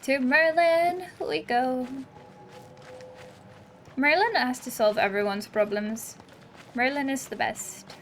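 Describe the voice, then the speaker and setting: A woman with a high voice hosts a let's-play in a chatty, playful way. She talks casually and exclaims as she goes, with light music behind her. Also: slow, long pauses. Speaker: gaming video host. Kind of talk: casual let's-play commentary, reading game dialogue aloud.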